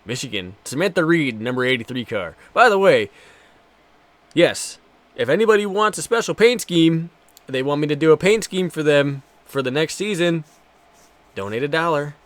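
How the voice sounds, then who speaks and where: A man's voice: fairly high pitch, expressive, moderate pace, dry recording. A man in his twenties doing casual, foul-mouthed live commentary while streaming a racing game, bantering jokily with viewers.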